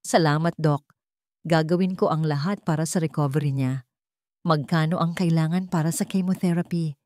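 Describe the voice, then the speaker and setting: A woman's voice: low-pitched, moderate pace, mellow, no room sound, studio quality, lightly acted. A woman voicing a desperate, anguished young man in an audio drama, pleading dramatically. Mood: anxious.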